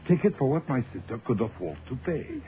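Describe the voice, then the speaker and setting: A man's voice: medium pitch, sing-song, a little fast, lightly acted. An actor playing a proud, defiant foreign man in a radio mystery, speaking in formal, emphatic, stilted phrases. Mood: pleading. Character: proud, defiant, intense foreign man protecting his sister. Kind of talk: radio mystery drama acting. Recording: narrow, tinny, noisy old-time radio recording.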